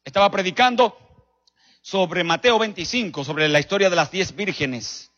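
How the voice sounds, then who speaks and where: A man with a Dominican accent preaching a sermon as a pastor, telling stories emphatically with rhetorical questions and dramatic pauses. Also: high voice, a bit bright. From Dominican Republic. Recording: dull recording in a reverberant hall.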